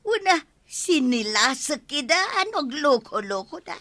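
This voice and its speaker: A woman's voice: high-pitched, sing-song, measured pace, a bit bright, no echo, full character voice. A woman playing a feisty, scolding village watchwoman in an Ilocano radio comedy drama, with an Ilocano accent. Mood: proud, firm.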